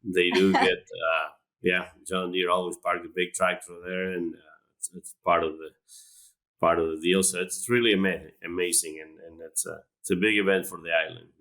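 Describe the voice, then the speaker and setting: Man from Argentina, deep voice, bright tone, hesitant with lots of fillers, guest on an interview podcast. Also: flat delivery, normal speed. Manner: hesitant, many fillers and restarts. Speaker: animal science professor, guest. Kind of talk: casual interview talk.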